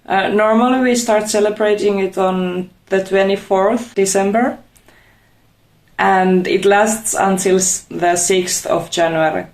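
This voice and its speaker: A woman's voice: mid-range voice, steady tone, measured pace, a little mellow. A woman in her twenties with a Finnish accent, giving casual interview answers. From Finland. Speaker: interviewed volunteer. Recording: echoey room.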